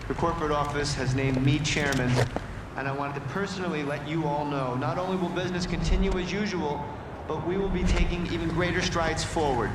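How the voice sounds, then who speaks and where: A slippery corporate chairman, played by a man, talking in a slick, placating way. His voice sits a little high. The game audio carries clear background noise. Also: natural voice. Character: smooth scheming male corporate chairman. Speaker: corporate executive.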